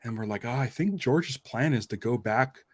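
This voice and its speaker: An enthusiastic man chats casually as a podcast host, working out his ideas aloud in loose, rambling fragments. His voice sits at a middle pitch. The sound is dry and close, with very little room. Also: moderate pace, dry recording. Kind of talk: casual fan discussion.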